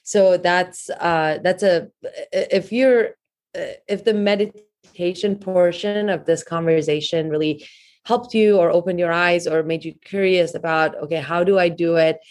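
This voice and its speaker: Interview guest, woman author, low voice, earnest and steady, lots of fillers. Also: unhurried. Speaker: author and meditation teacher.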